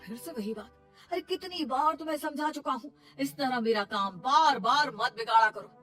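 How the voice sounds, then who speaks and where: A woman's voice: high voice, normal speed, a bit bright, soft background music. A woman voicing a stern, exasperated young husband who complains, in a cartoon moral story.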